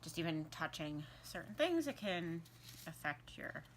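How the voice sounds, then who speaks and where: A woman's voice: sits low, sing-song, a little slow, slight background noise. A young woman co-hosting a vlog, playful and teasing, explaining things casually and sometimes dropping into a whisper. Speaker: vlog co-host. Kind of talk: casual chat.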